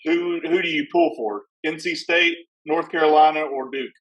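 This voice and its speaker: A man with a somewhat high voice hosts a podcast. He keeps pressing his guests with playful, teasing questions. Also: normal speed, fairly bright tone, low-quality recording. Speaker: podcast host. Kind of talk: casual podcast interviewing.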